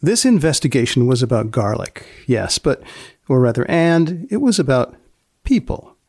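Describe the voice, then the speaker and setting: A man hosting a podcast, narrating and asking questions in a measured, deadpan way. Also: mid-range voice, no room sound, clean studio sound. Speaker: podcast host and magazine editor.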